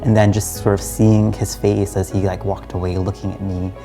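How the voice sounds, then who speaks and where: A man's voice: low-pitched, flat delivery, normal speed, over music. A young man from Trinidad and Guyana telling his personal story in a reflective, earnest way, as in a documentary interview. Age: young adult.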